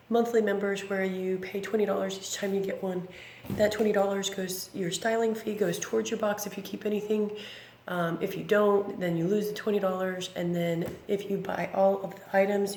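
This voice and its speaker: A woman in her thirties, raspy from bronchitis, chatting casually through an unboxing with many ums and self-corrections. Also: medium pitch, darkish tone. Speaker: vlogger. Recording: echoey room with background noise.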